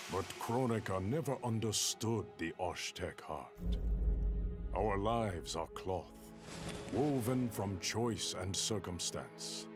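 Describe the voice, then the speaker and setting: An actor playing a stern, noble warrior emperor in a video game, speaking in a measured, formal, solemn manner. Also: male voice, low voice. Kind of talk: dramatic video game monologue. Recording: music behind the voice.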